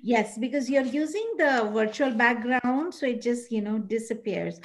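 A middle-aged woman teaching an online preschool class on a video call, animated and coaxing, singing out animal sounds for the children. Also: a little high, moderate pace. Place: classroom-like room with posters, indoors.

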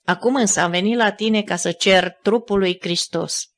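A reader voicing Joseph of Arimathea as a calm, respectful councillor speaking softly in an audiobook. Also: medium pitch, lightly acted.

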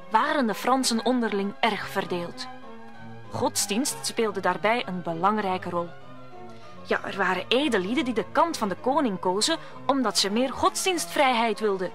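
Woman telling a radio play story, voice a bit high, soft music behind. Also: lively intonation, normal speed. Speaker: narrator.

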